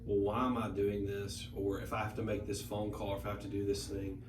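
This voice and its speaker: A man's voice: on the low side, completely flat delivery, fairly quick. A man in his late thirties, a guest in a casual podcast chat, who rambles and restarts his sentences often. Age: 30s. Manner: rambling, many restarts and repeated words. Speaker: guest, brewery owner. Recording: echoey room, noisy, mic at a distance.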